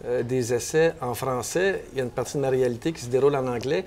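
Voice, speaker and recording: A man's voice: mid-range voice, quick pace, a little mellow, a little hiss or hum. A man in his fifties with a Québécois accent, a novelist guest on a book panel, talking thoughtfully with many restarts. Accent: Québécois French. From Quebec. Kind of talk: panel discussion. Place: bookstore, indoors.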